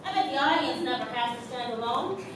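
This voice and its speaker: A woman's voice: fairly high pitch, animated, brisk, recorded from a distance, natural voice. An actress playing an anxious, modest young woman who performs in a circus, speaking hesitantly on stage. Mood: anxious, wistful. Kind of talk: theatrical stage acting. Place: theater stage. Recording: echoey noisy theater from afar.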